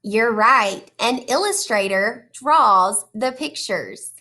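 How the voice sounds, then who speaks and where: High, bright woman reading to children. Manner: addresses children directly with questions and praise; deliberate, expressive storytelling. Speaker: teacher reading aloud to young children. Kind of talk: children's storybook read-aloud.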